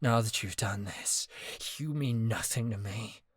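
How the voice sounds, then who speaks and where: A man's voice: moderate pace, husky, no echo, clean studio sound. A man voicing a heartbroken young man who screams in anguish and sobs out desperate pleas. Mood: bitter sneering. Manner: shrill cracking shouts and sobbing pleas.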